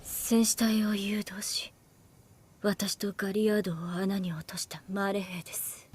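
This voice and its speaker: An actress playing a shrewd, languid young woman soldier in anime, calm and teasing, with a soft, unhurried, analytical way of speaking. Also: medium pitch, husky. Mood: subdued, serious. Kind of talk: Japanese anime voice acting. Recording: dialogue over background music.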